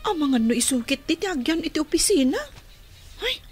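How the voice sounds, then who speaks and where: A young restaurant worker from a radio drama, with a high voice and an Ilocano accent. She is chatty and hopeful, talking like a working student among friends. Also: expressive, normal speed, faint music behind. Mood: wary, gossipy.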